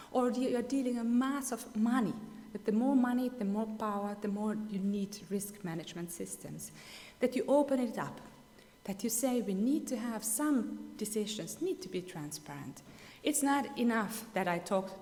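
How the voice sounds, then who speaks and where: A woman's voice: fairly high pitch, a little mellow. A woman from Finland with a Finnish accent giving an earnest, emphatic keynote speech, often restarting her sentences. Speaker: keynote speaker. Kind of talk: keynote speech at an award ceremony. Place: ceremony hall. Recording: long hall reverb.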